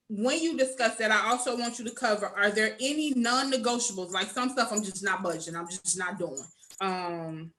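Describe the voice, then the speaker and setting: Bright lively woman hosting a panel. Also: medium pitch, poor audio. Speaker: host. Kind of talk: hosting a panel talk show.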